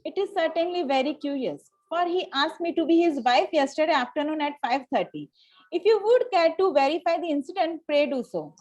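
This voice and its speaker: A woman's voice: high voice, animated, brisk, a little mellow, low-quality recording. A woman reading the part of a haughty, coolly polite young upper-class lady in a Victorian stage comedy, formal with small stumbles. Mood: coolly indignant. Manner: formal, stumbles over lines. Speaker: table-read participant.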